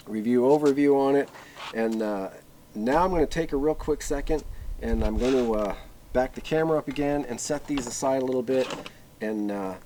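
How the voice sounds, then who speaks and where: A man giving a casual, unscripted product overview in a halting, conversational way with plenty of filler words. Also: mid-range voice, mellow, slight background noise. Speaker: product reviewer.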